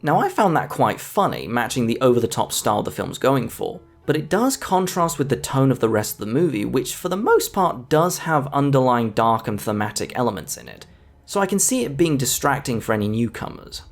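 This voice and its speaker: A man's voice: medium pitch, very animated, fairly quick. A man narrating a scripted film review as the host of a video essay.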